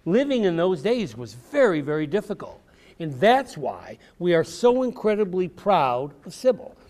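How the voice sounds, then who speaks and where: A man's voice: high voice, sing-song, moderate pace, darkish tone. An American man, an author, giving an encouraging, theatrical storytelling talk to children in simple, emphatic phrases. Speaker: author and speaker. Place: public library event before children.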